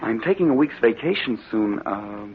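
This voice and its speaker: Smooth scheming husband, old radio. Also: male voice, on the low side, moderate pace. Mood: affectionate, coaxing. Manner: suave, coaxing, calmly menacing. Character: smooth, scheming husband, coldly murderous beneath affection. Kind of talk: 1940s radio drama acting. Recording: dull, muffled old radio broadcast recording.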